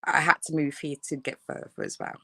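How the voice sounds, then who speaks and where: A woman's voice: deep voice, wildly swooping pitch, brisk, creaky, bright, forceful tone, slightly rough, dry recording, clean studio sound. A woman in her thirties with a Birmingham accent, a fashion designer chatting as an interview guest, laughing often and filling her talk with ums and restarts. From England. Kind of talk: casual interview.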